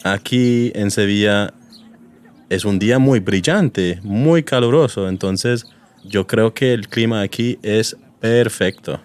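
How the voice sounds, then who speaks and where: A man with a London accent, hosting a Spanish lesson and speaking clearly, at a teaching pace. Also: lowish voice. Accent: London English. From England. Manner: friendly, clear, teaching pace with repeat-after-me pauses. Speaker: language course host. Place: outdoors in a public garden.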